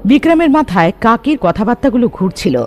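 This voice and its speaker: A man's voice: very high-pitched, big pitch swings, fast talker. A man reading scripted narration for a horror story cartoon. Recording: long hall reverb over music.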